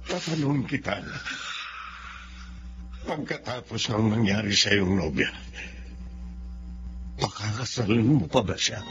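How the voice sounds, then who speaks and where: A man acting a gentle, grave middle-aged father in a Filipino radio drama. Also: on the low side, animated, measured pace, long pauses, music in the background. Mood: consoling, cautious. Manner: grave, consoling. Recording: muffled radio drama with background noise.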